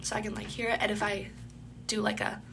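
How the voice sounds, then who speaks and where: A woman's voice: mid-range voice, flat delivery, a little fast, gravelly. Stuffy-sounding young woman rambling on her podcast, lots of ums, hissy noisy recording. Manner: rambling, filler-heavy, chatty. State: congested, phlegmy throat. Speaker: podcast host. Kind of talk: casual solo podcast chat.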